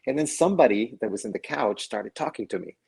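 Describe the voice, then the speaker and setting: A man's voice: on the low side, fast talker, gravelly, slightly rough. A man from Mexico, an actor guest in a casual interview, telling stories animatedly and acting out the voices of people he quotes, with frequent laughs. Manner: animated storyteller who acts out quoted voices, laughs often.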